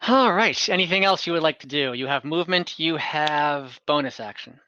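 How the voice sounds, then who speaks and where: Male game master, bright dry tone, relaxed, laughs a lot. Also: on the high side, clear, resonant tone, no echo, dull audio. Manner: relaxed, quick rules checks with little laughs. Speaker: dungeon master. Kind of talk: running an online tabletop game.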